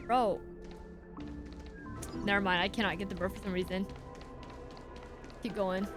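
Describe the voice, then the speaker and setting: A woman's voice: mid-range voice, fairly even intonation, unhurried. A woman streamer chatting casually and playfully as she reacts to a game, often exclaiming. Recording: game music behind the voice. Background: video game music.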